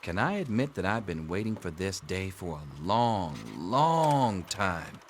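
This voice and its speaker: Sardonic, deep-voiced schoolteacher. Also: male voice, big pitch swings, slow, slight background noise, heavily acted. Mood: dry sarcasm. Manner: sardonic, theatrical drawl. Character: wry, jaded middle-aged schoolteacher. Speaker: teacher. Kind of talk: video game dialogue acting.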